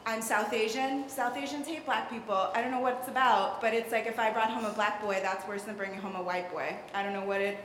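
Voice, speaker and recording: A woman's voice: on the high side, fairly even intonation, a little fast, few pauses, a bit bright. Rambling young woman in a reverberant hall. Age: young adult. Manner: rambling, hedging with "sort of" and "like". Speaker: audience member. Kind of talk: audience comment at a panel. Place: large hall at a public event. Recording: echoey hall, faint room noise.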